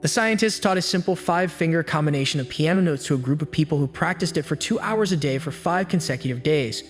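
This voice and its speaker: A man giving scripted self-help narration with an even, steady storytelling delivery. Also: a little high, fast talker. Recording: long hall reverb with faint music. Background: faint background music.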